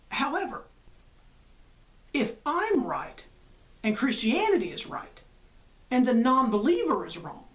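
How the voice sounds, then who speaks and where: A woman hosting a religious show, delivering a conversational monologue that leans on rhetorical questions and emphatic repetition. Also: medium pitch.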